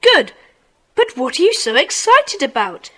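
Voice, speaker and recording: A woman playing a decisive schoolboy club leader with a brisk, take-charge manner in a children's audio drama. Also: high-pitched, very animated, a bit bright, exaggerated voice. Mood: keen.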